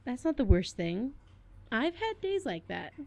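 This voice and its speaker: An actress playing a bright, inquisitive junior assistant in a small-town radio play. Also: female voice, on the high side, very animated, unhurried, creaky, dry recording, exaggerated voice. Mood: bright curiosity. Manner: perky, inquisitive.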